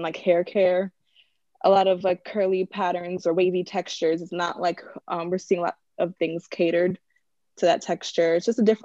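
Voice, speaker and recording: A woman's voice: lowish voice, dark tone, no room sound, highs cut off. A woman in her twenties speaking earnestly as a podcast guest. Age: 20s. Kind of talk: casual group chat.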